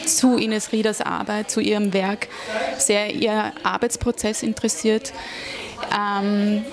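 A woman in her forties, an artist speaking evenly and a little hesitantly about her work into a handheld mic in a gallery. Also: mid-range voice. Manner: even, slightly hesitant. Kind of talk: talking about her artwork. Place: gallery room.

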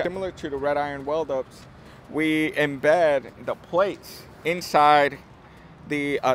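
A man in his thirties presenting an unscripted walkthrough of a building site, explaining informally and often rephrasing himself. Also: on the high side. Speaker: construction company presenter giving a site tour. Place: outdoors on an open steel-frame construction site. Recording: clear outdoor site and vehicle noise.

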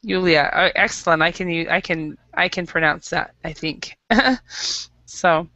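A woman's voice: on the low side, unhurried, pushed and bright, no room sound, highs cut off. Chatty American woman on a headset. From Idaho, USA. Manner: chatty, friendly, with many um and uh fillers. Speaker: online English teacher. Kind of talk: casual online language lesson. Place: small room at home. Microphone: headset mic.

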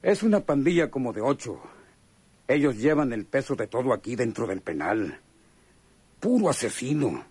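A man's voice: medium pitch, big pitch swings, normal speed, a little mellow, husky, no room sound. A Mexican man acting a chummy, sly, hardened convict in a radio drama, talking in slangy, hesitant phrases. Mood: sly, confiding. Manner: slangy, hesitant drawl with knowing chuckles.

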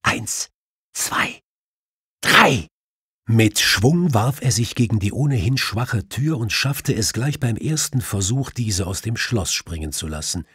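A man's voice: on the low side, expressive, moderate pace, hardly any pauses, breathy edge, dry recording, studio quality. A man reading a literary detective story as an audiobook narrator.

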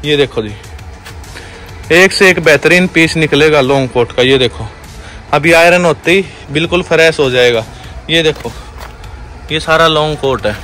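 A young man giving a repetitive, pushy sales pitch for wholesale coats in a big indoor warehouse, filmed on a handheld phone. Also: normal speed, long pauses, bright, forceful tone, faint music behind. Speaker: wholesale clothing seller. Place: large indoor clothing warehouse.

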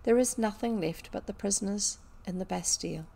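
A woman reading a storybook, voicing a grave, sad young schoolgirl in a quiet, restrained way. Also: mid-range voice, brisk, gravelly, breathy edge. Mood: sad, resigned.

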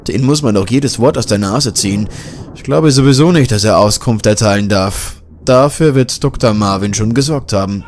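A man voicing a level-headed male journalist who talks in a calm, polite, reassuring way. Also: fairly low pitch, moderate pace, a little hiss or hum, natural voice.

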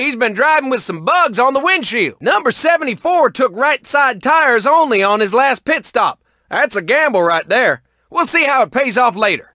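An excitable cartoon race announcer for a video game. The voice is very high and piercingly bright, swinging widely in pitch. The delivery is folksy, showy sports commentary. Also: male voice, no echo, clean studio sound, full character voice.

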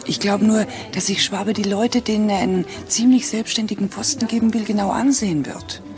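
An actress playing a shrewd, ambitious, critical wife in a radio play, pointed and insistent. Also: female voice, mid-range voice, fairly even intonation, fairly quick, lightly acted. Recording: music behind the voice.

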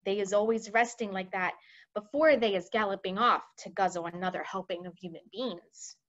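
A woman voicing a gentle, excitable giant from a children's storybook, exclaiming in muddled, childlike grammar with garbled words. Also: mid-range voice, moderate pace, lo-fi sound, lightly acted. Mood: eager explaining.

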